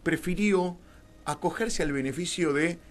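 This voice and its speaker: A man's voice: a little high, measured pace. A man with a Rioplatense Argentine accent telling anecdotes conversationally as a radio guest, wry and theatrical, acting out bits of dialogue. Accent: Rioplatense Argentine Spanish. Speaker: interview guest, filmmaker. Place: radio studio.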